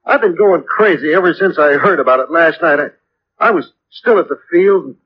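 An actor playing a distraught male ground-crew mechanic in old radio drama style, defensive, halting and stammering. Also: a little high, breathy edge. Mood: anxious, upset. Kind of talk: 1950s radio drama acting. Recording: dull, hissy old radio recording.

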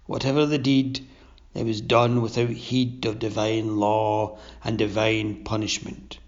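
A man voicing a pompous, sinister undead sea captain, speaking in a low, slow, drawn-out rasp. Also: flat delivery, natural voice. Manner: pompous, sly oration. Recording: dull lo-fi recording.

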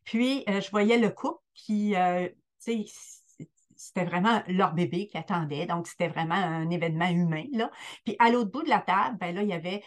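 A woman's voice: on the low side, moderate pace. An older woman with a Quebec French accent, a guest midwife telling her story in an interview with many hesitant fillers. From Quebec, Lower St. Lawrence.

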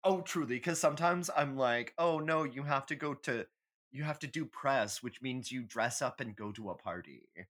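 A man's voice: mid-range voice, moderate pace, fairly bright tone. A man co-hosting a casual comedy podcast, chatting in a catty, theatrical and emphatic way with plenty of swearing. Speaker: podcast co-host.

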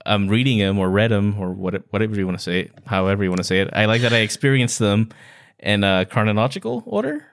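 A man co-hosting a podcast, chatting casually about books in a halting, playful, conversational way. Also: on the low side, animated, creaky. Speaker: podcast co-host. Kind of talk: casual book discussion chat.

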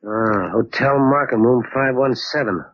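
An actor playing a tough, impatient, wisecracking investigator with a husky voice in a 1940s radio drama. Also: male voice, medium pitch, a little slow, a bit bright, slight background noise, lightly acted. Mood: hard-boiled. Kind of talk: 1940s hard-boiled radio drama acting. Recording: dull 1940s radio recording.

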